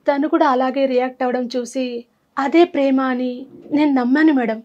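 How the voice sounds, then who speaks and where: Female talk show guest, thirties, high voice, giving a personal confession. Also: steady tone, normal speed, warm tone, muffled recording. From Andhra Pradesh, India. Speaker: guest seeking advice.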